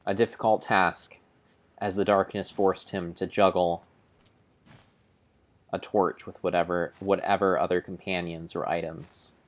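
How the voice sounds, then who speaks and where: Deep-voiced man narrating a game dramatically. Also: flat delivery. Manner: grave, theatrical, deliberate phrasing with pauses. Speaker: streamer narrating his gameplay. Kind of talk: dramatic storybook-style narration of video game play.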